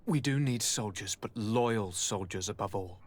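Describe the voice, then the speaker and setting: A man acting a sickly young prince in a video game cutscene, speaking formally and theatrically with weary sighs and a husky voice. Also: lowish voice, moderate pace, natural voice. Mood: cold, stern.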